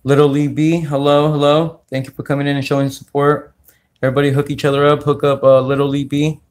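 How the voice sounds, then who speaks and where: A man from California hosting a casual livestream, talking slowly with many fillers as he greets viewers. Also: fairly high pitch, flat delivery. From California, United States. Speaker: livestream host. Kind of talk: casual livestream chat while sorting coins.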